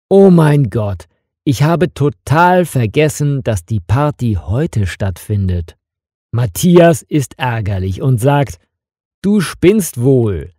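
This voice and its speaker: A man's voice: medium pitch, mellow, dry recording, clean studio sound. A man narrating scripted stories for language learners, reading carefully and with expression. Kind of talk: slow scripted narration with acted dialogue.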